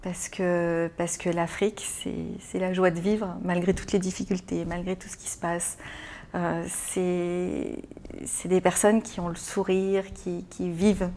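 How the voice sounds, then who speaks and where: A woman's voice: on the low side, flat delivery, warm tone, a little hiss or hum. A hesitant, thoughtful Frenchwoman. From France. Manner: thoughtful, many pauses and repeated words. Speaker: interview guest, business owner. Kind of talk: hesitant conversational interview answers.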